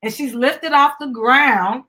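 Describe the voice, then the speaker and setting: A middle-aged woman presents a children's book in a husky voice. She reads with animation, then steps out of the story to explain it. Her manner is encouraging and instructive, aimed at young listeners and their parents. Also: high-pitched, takes it slowly, bright, forceful tone.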